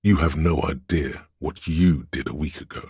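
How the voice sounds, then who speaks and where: Erudite male inner voice, extremely low pitch, dry close sound, grandiloquent, wry, measured video game narration. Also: darkish tone, husky, no room sound, full character voice. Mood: needling. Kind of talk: scripted game narration, lecturing.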